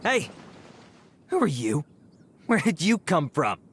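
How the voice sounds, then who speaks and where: A man's voice: on the high side, big pitch swings, slow, fairly bright tone, slight background noise, full character voice. A man voicing a blustering bandit in a video game, with a theatrical delivery. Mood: defiant. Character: blustering, defiant bandit who turns to begging. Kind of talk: scripted video game dialogue. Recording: game music behind the voice.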